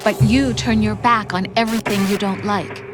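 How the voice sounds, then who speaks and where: An actress playing a cruel artificial intelligence posing as a scornful young girlfriend in a video game, speaking with deliberate reproach. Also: medium pitch, steady tone, music in the background, lightly acted. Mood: bitter, reproachful. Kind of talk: dramatic game cutscene acting.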